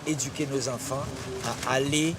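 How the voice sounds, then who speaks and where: A man giving halting, reflective interview answers, searching for his words. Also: mid-range voice, fairly even intonation, a loud crowd. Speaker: water sports figure honoured at an inauguration. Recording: distant mic, noisy outdoor ambience.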